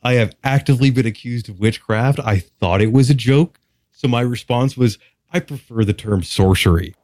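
A man's voice: mid-range voice, moderate pace, darkish tone, no echo, studio quality. A man from Texas chatting casually as a podcast guest, with a candid, wry, casually profane way of talking. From Texas, United States. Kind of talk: casual interview chat.

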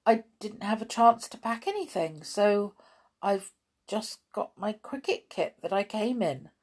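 A woman's voice: mid-range voice, animated, a little slow, a little mellow, husky, voice pushed up. A woman voicing a timid, polite boarding-school boy in a storybook, hesitant and pleading. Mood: nervous, sheepish.

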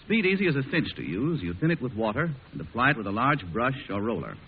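A man's voice: mid-range voice, very animated, fast, slight background noise. A man working as a radio announcer, reading a scripted 1940s introduction and advertisement. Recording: tinny, band-limited 1940s radio recording.

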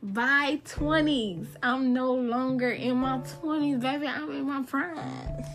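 A woman's voice: sits high, slow pace. Chatty thirty-year-old woman over music. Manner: chatty and emphatic, repeats phrases for effect. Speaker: podcast host. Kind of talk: casual solo podcast talk.

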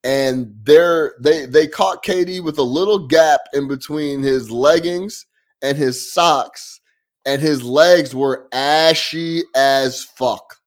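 A man's voice: fairly high pitch, slow pace. A young man co-hosting a casual sports podcast, loose and slangy, laughing often. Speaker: podcast co-host.

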